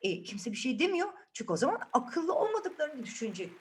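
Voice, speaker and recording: A woman's voice: high-pitched, sing-song, a little fast. A woman telling fairy tales to children in a playful, chatty way, often checking in with her listeners. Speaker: children's storyteller, host. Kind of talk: animated fairy tale narration. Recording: music behind the voice. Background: music.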